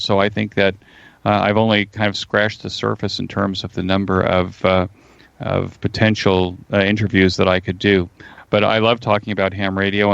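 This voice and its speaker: A middle-aged or older man giving casual interview answers as a guest, halting and pausing mid-sentence. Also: on the low side, creaky, clear, resonant tone, no echo. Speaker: interview guest, ham radio podcaster. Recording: dull, lo-fi remote connection.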